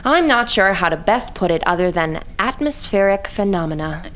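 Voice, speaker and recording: An anxious, secretive wife in a forties radio mystery, played by a woman. She has a middle-pitched voice and answers in a guarded, evasive way. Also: expressive, normal speed, a bit bright, natural voice. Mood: guarded, explanatory. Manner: guarded, hesitant when pressed. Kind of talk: 1940s radio drama acting.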